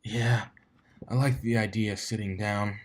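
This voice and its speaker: A man's voice: steady tone, a little slow. A man voicing a stubborn, queasy female pony team captain from a storybook, in a terse, strained way. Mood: resigned. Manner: terse, strained, low-volume. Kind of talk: storybook character reading.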